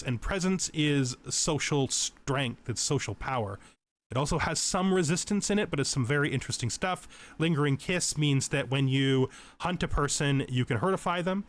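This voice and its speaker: Man giving an unscripted game tutorial. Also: mid-range voice. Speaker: tutorial let's play host.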